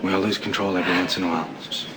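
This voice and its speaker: A man acting as a calm hospital doctor in a television soap. His voice is very deep and gently reassuring, a little hesitant, under very loud background noise. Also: very fast, natural voice. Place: indoor hospital set.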